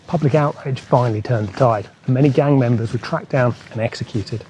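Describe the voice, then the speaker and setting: Guy hosting a motorbike travel vlog, mellow voice, telling old local legends, bit of background noise. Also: medium pitch, fairly quick, rough recording. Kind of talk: storytelling narration of local history and legends.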